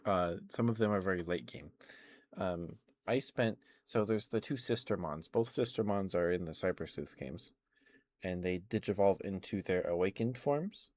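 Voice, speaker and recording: A man with a low-pitched voice chats casually in an ASMR livestream style, close to the microphone. He rambles along hesitantly, often pausing to rephrase or correct himself. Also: darkish tone, dry recording. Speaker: live streamer hosting an ASMR stream. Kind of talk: casual ASMR chat about video games.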